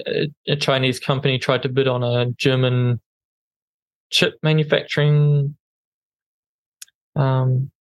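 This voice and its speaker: A man's voice: medium pitch, dry recording. A man co-hosting a podcast in casual news chat, with a non-native English accent, talking hesitantly with many ums and restarts. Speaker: podcast co-host.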